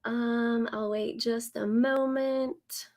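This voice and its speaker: A woman in her thirties, raspy from a summer cold, talking slowly and warmly to viewers on a live stream as a business coach, with many ums. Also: a little high, fairly even intonation. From Indiana, US. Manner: slow, friendly, many ums.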